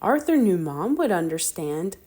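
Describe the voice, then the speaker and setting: A woman's voice: fairly low pitch, very animated, measured pace, dark tone, breathy edge. A woman narrating a children's picture book aloud. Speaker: storybook reader.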